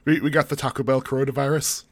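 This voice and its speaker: A man's voice: medium pitch, fairly even intonation, quick pace, no room sound. A man co-hosting a podcast in casual chat, congested from the flu and talking in rambling, self-interrupting, jokey bursts. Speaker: podcast co-host.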